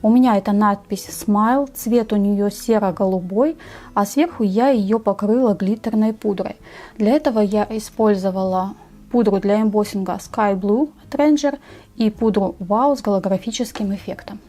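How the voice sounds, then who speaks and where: A woman narrating a step-by-step craft tutorial in a measured, explanatory way. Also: medium pitch, dark tone.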